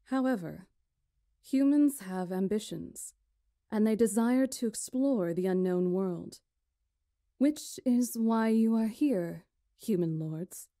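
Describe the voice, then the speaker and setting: A composed young witch of legends, played by a woman, speaks in a mellow, dry-recorded voice. She is dignified and measured, like a formal storyteller in a visual novel. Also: medium pitch, no room sound. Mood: solemn.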